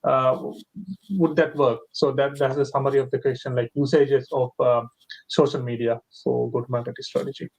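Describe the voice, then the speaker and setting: A man's voice: medium pitch, little pitch movement, normal speed, a little mellow, slightly rough, low-quality recording. Hesitant Sri man hosting a webinar. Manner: hesitant, many fillers. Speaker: host. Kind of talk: webinar moderation.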